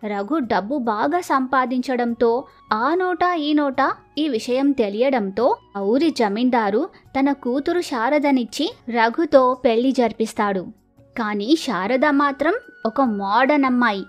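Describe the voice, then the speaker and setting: A woman's voice: high voice, lively intonation, measured pace, faint music behind. A woman narrating a scripted village moral story as storyteller.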